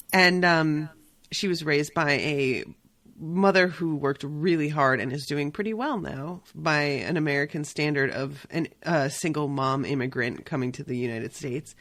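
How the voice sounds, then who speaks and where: A woman hosting a podcast, chatting casually about a book with quick, irreverent asides and the odd swear word. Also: low voice, fairly bright tone, no echo. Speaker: podcast host. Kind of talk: casual chat.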